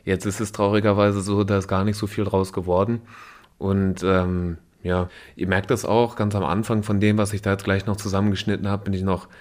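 Deep-voiced man with a cold. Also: fairly quick. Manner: relaxed, chatty, with slang and fillers. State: has a cold. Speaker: podcast host. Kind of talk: casual podcast chat.

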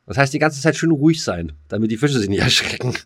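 A man's voice: medium pitch, lively intonation, fast, crowd noise. A man co-hosting a podcast in casual, slangy banter, rambling along and joking as he talks. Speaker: podcast co-host.

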